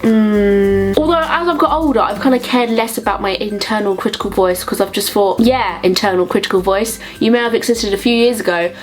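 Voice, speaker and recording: A woman's voice: medium pitch, a little fast, few pauses, soft background music. A young adult woman with a southern English accent, chatting to viewers as a comedic vlogger with playful, sarcastic asides and mild swearing.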